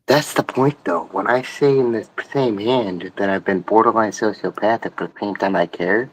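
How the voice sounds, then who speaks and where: A man's voice: fairly low pitch, moderate pace, a little hiss or hum, low-quality recording. A young man talking earnestly on a late-night stream, rambling and swearing a lot.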